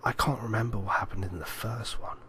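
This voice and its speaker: A man's voice: on the low side, brisk, pushed and bright. A man co-hosting a podcast and chatting casually about films. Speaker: podcast co-host. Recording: noisy amateur podcast recording.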